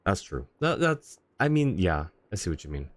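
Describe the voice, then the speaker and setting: A man's voice: deep voice, sing-song, moderate pace, dry recording. A man doing casual, chatty livestream commentary on a game, reacting and replying to his chat. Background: faint game music.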